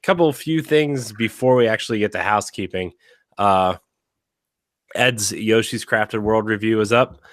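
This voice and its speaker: A man with a very low voice hosts a casual podcast, a little raspy from a week of sickness. He rambles along with lots of fillers and restarts. The sound is close and dry. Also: moderate pace, no room sound. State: slightly raspy from being sick. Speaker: podcast host. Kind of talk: casual chat.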